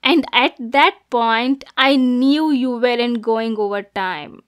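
A woman's voice: fairly high pitch, slow, clean tone. A woman voicing a possessive, jealous yandere girlfriend in an ASMR roleplay, menacing and cooing by turns. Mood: angry, accusing. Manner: swings between soft cooing and angry, degrading outbursts. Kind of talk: ASMR roleplay, dramatic monologue.

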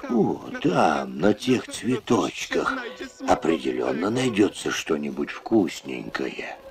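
A man's voice: a bass voice, big pitch swings, slow, crowd noise. A man reading scripted lines as a voice-over for a children's cartoon.

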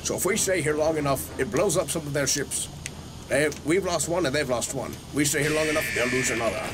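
A man's voice: on the high side, a little fast, pitched below the performer's own voice, close to an everyday voice. A man voicing a boastful, combative cartoon soldier, exclaiming as he fights in a game. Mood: excited bluster. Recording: loud game music and vehicle noise.

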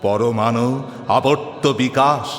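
A man reciting devotional poetry in a solemn, declamatory way with drawn-out, chant-like phrasing. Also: medium pitch, fairly bright tone. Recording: long hall reverb, music behind. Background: music.

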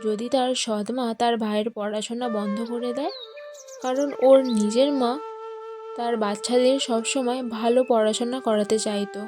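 A woman's voice: on the high side, steady tone, moderate pace, music in the background. A woman reading scripted storytelling narration for a cartoon moral tale.

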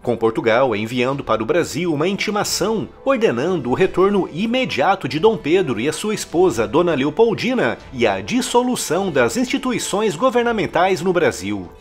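A man reading a scripted history documentary narration in a measured, emphatic storytelling manner. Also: fairly high pitch, big pitch swings, soft background music.

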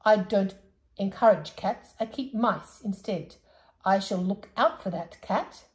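A woman voicing an old, hard-of-hearing, cheerful saucepan man from a storybook who mishears words and talks loudly. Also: mid-range voice, measured pace, roomy sound, natural voice. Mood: excited. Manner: loud, excitable, mishears words.

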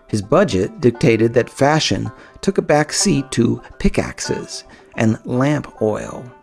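A man narrates an audiobook in the first person. His delivery is animated and dramatic, with a gravelly creak in the voice and faint music behind him. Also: mid-range voice.

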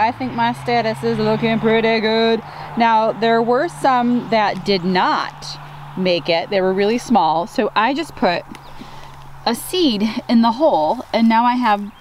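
A woman's voice: on the high side, lively intonation, takes it slowly. Woman, 30s, flower farmer, casual chatty vlog, outdoors, handheld camera, faint noise. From Upstate New York. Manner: chatty and upbeat, addresses viewers as guys. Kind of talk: casual farm tour vlog. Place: outdoors in open farm field.

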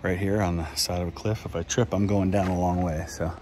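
A man's voice: sits low, fairly quick, slight background noise. A man in his forties talking to camera while hiking up a steep mountain road outdoors, with a handheld camera at arm's length. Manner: breathless, steady talk while climbing. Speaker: history vlogger. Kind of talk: hiking vlog narration.

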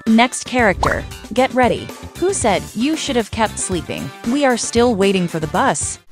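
A woman's voice: a little high, very animated, moderate pace. A woman hosting a quiz with scripted narration. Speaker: quiz host. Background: music.

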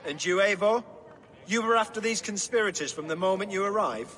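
An actor playing a blunt, commanding, hot-tempered medieval lord in a video game. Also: male voice, high voice, brisk, fairly bright tone, in a large hall. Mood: gruff, formal. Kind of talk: dramatic game cutscene acting.

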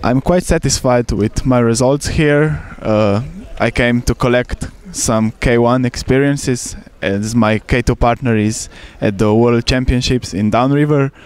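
A young man from Slovenia answers questions in English at an outdoor regatta, holding a microphone close. His voice is smooth and resonant at a middle pitch. He works through his sentences with pauses and small restarts. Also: a little mellow. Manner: careful non-native English with fillers and restarts. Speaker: canoe sprint athlete. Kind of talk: short interview answers. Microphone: handheld mic.

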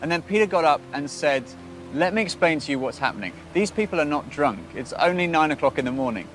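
Casting: British male presenter, thirties, earnest faith storytelling, measured phrases and pauses, music underneath. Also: a little high, expressive, fairly bright tone. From Britain. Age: 30s.

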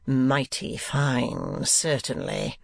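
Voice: mid-range voice, a little slow, gravelly, no echo, studio quality. A performer voicing a cold, testy old uncle in a Victorian novel, growling and sneering curtly.

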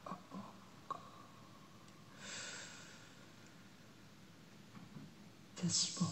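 A gentle, learned female dragon, acted out by a woman in an ASMR fantasy roleplay, speaks in hushed, breathy, formal phrases. The delivery is very slow and even in pitch, proud and measured like a patient teacher. It rings with a long cave-like reverb. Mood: curious, pleased. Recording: cavernous reverb, distant, noisy ambience.

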